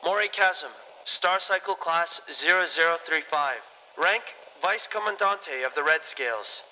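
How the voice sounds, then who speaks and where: High, sharp-toned earnest officer. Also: male voice, measured pace, long reverb tail, a little hiss or hum, low-quality recording. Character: polite, earnest male second-in-command of space crew. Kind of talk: audio drama monologue.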